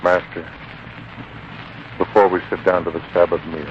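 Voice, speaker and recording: An actor playing a calm, wise biblical disciple, measured and instructive, in a 1940s radio drama. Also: male voice, low voice, natural voice. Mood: calm, reverent. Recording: muffled, noisy 1940s radio broadcast.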